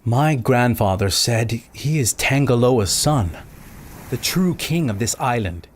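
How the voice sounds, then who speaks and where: Dignified island king, calm and solemn, mid pitch. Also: slow, slight background noise. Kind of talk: radio play acting.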